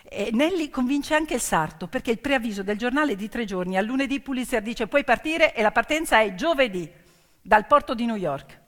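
A woman storyteller performing a theatrical narration with emphatic delivery and deliberate dramatic pauses. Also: a little high, expressive. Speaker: stage storyteller and actress. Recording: piano music behind the voice. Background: piano accompaniment.

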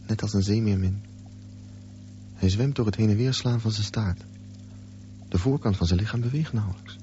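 A man's voice: on the low side, dark tone, natural voice. An actor voicing an intense, defiant and bitter sixteen-year-old boy swimmer in a radio drama. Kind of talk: radio drama acting and narration. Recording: muffled, faintly noisy radio drama recording.

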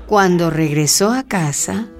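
A woman's voice: on the low side, a little slow. A woman narrator reading a scripted radio drama. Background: music.

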